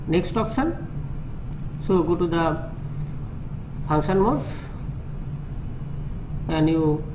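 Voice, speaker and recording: Bangladeshi man demonstrating, noisy recording. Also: a little high. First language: Bengali. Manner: halting, repetitive step-by-step explaining. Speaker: tutorial presenter. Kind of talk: hands-on technical demonstration. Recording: clearly noisy room recording.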